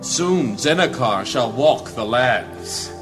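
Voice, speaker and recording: An actor playing a gloating, mock-polite male villain and zealot of a dark lord in a fantasy audio drama. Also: mid-range voice, expressive. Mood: gloating menace. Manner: mock-polite, menacing, drawn-out delivery. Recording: music under the voice.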